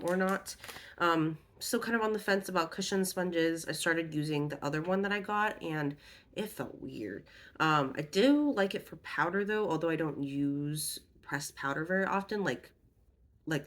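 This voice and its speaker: A woman's voice: fairly low pitch, slight background noise. A young woman vlogging about makeup, her voice a bit off as she gets over an illness, chatty and rambling with lots of ums and self-corrections. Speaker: beauty vlogger. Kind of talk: casual haul and review chat.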